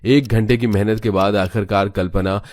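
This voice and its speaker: Adult man, low pitch, scripted storytelling, narrator. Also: fairly even intonation, moderate pace, darkish tone, dry recording.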